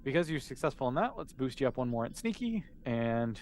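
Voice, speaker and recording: A man's voice: mid-range voice, sing-song, fairly quick, darkish tone, slight background noise. A man casually narrating a tabletop game as game master, explaining in an easygoing, conversational way.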